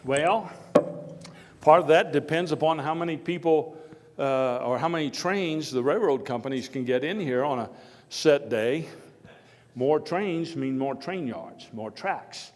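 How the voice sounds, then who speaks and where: A man performs a jovial, hearty former president addressing a live audience. He speaks in folksy oratory with halting, deliberate phrasing. His voice rings through a hall with long reverb. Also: a little high, close to an everyday voice. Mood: earnest. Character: Theodore Roosevelt, jovial, hearty former president telling anecdotes. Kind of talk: live historical dramatization speech to an audience.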